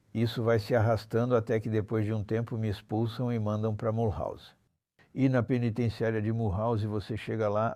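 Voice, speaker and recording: A man's voice: fairly low pitch, warm tone, no room sound, clean studio sound. A man in his seventies telling his life story as an interview guest, blunt and colloquial, often ending phrases with little tag questions. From Normandy, France. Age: 70s. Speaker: interview guest, former armed robber. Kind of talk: conversational life-story recounting.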